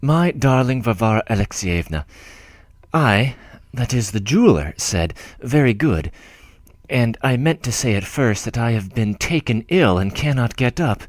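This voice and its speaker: A man's voice: mid-range voice, expressive, measured pace, dry recording, lightly acted. Man reading as a shy fussy old clerk, rambles and trails off, voice all over the place, dry close mic. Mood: tender fretful. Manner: rambling, self-interrupting, tender. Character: humble, timid older male clerk, doting and fretful. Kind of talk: audiobook letter reading.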